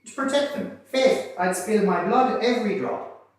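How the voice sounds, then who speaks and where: A repentant Irish exile, played by a man reciting satirical verse, aggrieved and fawning, with theatrical over-earnestness. The pitch is pushed high and the sound is distant and heavily reverberant. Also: a little slow. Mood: pleading, effusive. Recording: distant, very echoey room.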